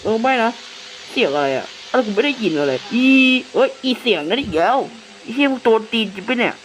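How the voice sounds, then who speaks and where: A man's voice: sits high, pitched above the performer's own voice. A man playing a crude, jumpy young man chatting on the phone in a horror skit, blunt, informal and sweary. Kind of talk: casual slangy horror skit dialogue. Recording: faintly noisy hissing recording.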